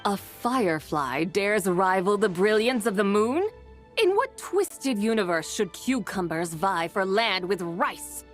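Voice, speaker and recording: A woman's voice: medium pitch, sing-song, a little slow, faint music behind. A woman playing a proud, stern female immortal who lectures in lofty, archaic speech in a video game. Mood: indignant, imperious. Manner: haughty, archaic, lecturing diction. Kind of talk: game voice acting.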